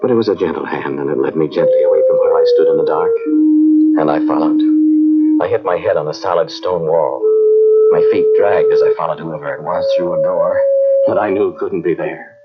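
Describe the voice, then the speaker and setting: An actor playing a haunted scientist in an old-time radio drama, telling of a terrifying ordeal in a dramatic, halting, brooding way. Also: male voice, a bass voice. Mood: hushed dread. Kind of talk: old-time radio drama acting, first-person narration. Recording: dull old cassette radio recording, music behind.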